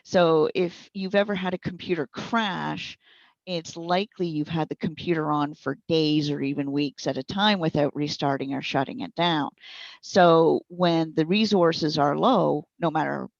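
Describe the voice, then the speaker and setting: Woman, tech support interviewee. Low voice, chatty folksy explainer, dry lo-fi audio. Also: steady tone, measured pace, gravelly, dry recording. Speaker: interviewed speech software trainer and support provider. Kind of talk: informal explanatory interview answers. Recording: dull, lo-fi audio.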